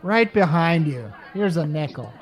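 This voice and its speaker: A man plays a worn-out, wisecracking working stiff in a radio comedy. He talks in a high-pitched voice, full of slang and exasperated sarcasm. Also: animated, measured pace, soft background music, some chatter behind. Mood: weary, irritated. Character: weary, wisecracking working-class male repairman.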